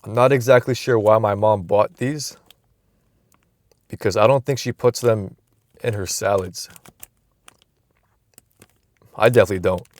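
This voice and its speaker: A man's voice: fairly low pitch, fairly even intonation, a little slow, long pauses, darkish tone, no echo. A young man vlogging from inside a car, musing aloud in a loose, rambling way with frequent restarts, recorded on a phone camera. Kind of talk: casual rambling vlog.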